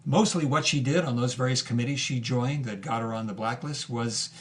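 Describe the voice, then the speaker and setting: Explanatory male storyteller, medium pitch. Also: brisk. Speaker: host and narrator. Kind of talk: conversational historical storytelling.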